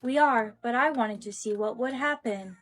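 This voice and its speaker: A woman's voice: on the high side, moderate pace, dry recording, soft background music, natural voice. A woman voicing a naive, curious robot girl in a short skit, plain, literal and questioning. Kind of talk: short comedic skit acting.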